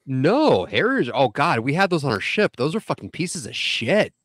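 A man's voice: fairly high pitch, big pitch swings, normal speed, fairly bright tone, no room sound, studio quality. A man co-hosting a casual podcast, speaking bluntly and cursing freely. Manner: blunt, profane. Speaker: podcast co-host. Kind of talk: casual chat. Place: home room, on a video call.

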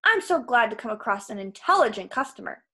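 A woman's voice: fairly high pitch, big pitch swings, brisk, fairly bright tone. A woman voicing a giant male salesman villain in a storybook, fussy and folksy, threatening people in cheerful sales patter. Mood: jovial menace.

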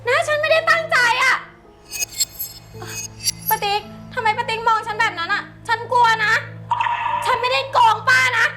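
A woman's voice: very high voice, moderate pace, long pauses. A female performer playing a scared young woman swindler, whiny and defensive, in a skit. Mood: panicky. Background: music.

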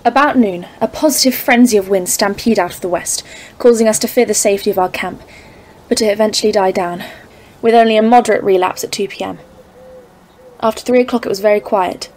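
A woman's voice: medium pitch, fairly quick, a little mellow, natural voice. A woman acting in an audio drama as a haunted expedition leader of thirty-four, grave and literary, foreboding in tone. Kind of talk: scripted audio-drama narration and dialogue. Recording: clearly noisy, ambient background.

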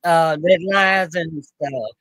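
A caller on a chat show speaking haltingly and restarting often. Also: medium pitch, a bit bright, rough recording. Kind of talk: casual call-in chat.

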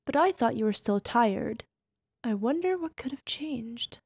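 Slow, creaky-voiced teasing wife, acted by a woman. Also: fairly high pitch, animated, dry recording, clean studio sound, full character voice. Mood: playful, teasing.

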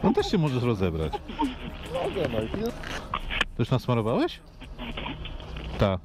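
A man's voice: medium pitch, wildly swooping pitch, slow. Man on very noisy biker intercom. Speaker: motorcyclist. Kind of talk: casual intercom chat. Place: outdoors on motorcycles, at petrol station and road. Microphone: helmet intercom. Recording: very noisy helmet intercom outdoors.

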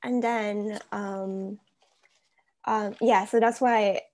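A woman's voice: mid-range voice, fairly even intonation, drawn-out words, darkish tone. A woman in her twenties introducing herself casually in an online class, thinking aloud hesitantly on a video call. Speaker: student in an online seminar.

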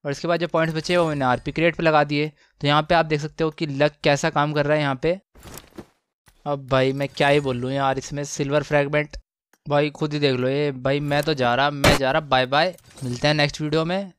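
A man giving casual, excited gameplay commentary, slangy and exclamatory, repeating phrases for emphasis. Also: on the high side, brisk, a little hiss or hum. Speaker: gaming channel host.

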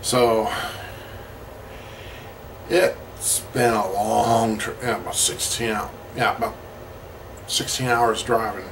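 Slow, halting middle-aged man, slightly distant mic. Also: fairly low pitch, steady tone, background noise. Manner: halting, pausing mid-sentence. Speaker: road-trip vlogger. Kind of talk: casual rambling video diary. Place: parked vehicle cabin, later a small motel room. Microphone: camera at about arm's length.

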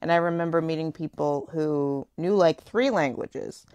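A woman's voice: low voice, moderate pace, darkish tone, smooth, dry recording. A woman hosting a radio talk show, asking thoughtful, conversational questions. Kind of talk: radio interview.

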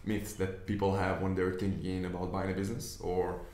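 A young Israeli man talks to camera from an office desk, his voice low and fairly level in tone. He explains his points earnestly and often restarts his sentences. The room gives his voice a clear echo. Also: level tone, moderate pace, darkish tone. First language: Hebrew. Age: young adult. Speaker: business investor. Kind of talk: casual talk to camera. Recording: echoey room.

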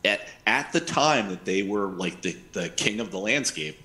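A man's voice: lowish voice, animated, normal speed, pushed and bright. A middle-aged man co-hosting a show with casual commentary, speaking into a headset mic. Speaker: co-host of an anime news show. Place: indoors on a video call.

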